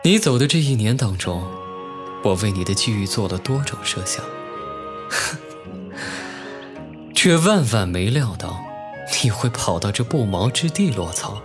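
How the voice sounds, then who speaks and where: An actor playing a proud, teasing and wistful young nobleman officer in an ancient-costume audio drama, with measured classical phrasing. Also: lowish voice, sing-song, over music. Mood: teasing, bitter. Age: young adult.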